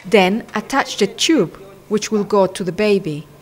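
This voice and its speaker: A woman narrates an instructional film with a voice of medium pitch. She reads from a script in a measured way, giving clear directions one step at a time.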